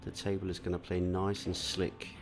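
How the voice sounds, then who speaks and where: A man from Denmark giving calm solo commentary on a pool match, explaining shots and answering viewer chat. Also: sits low, moderate pace, over music. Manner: relaxed, explanatory, many "uh" fillers.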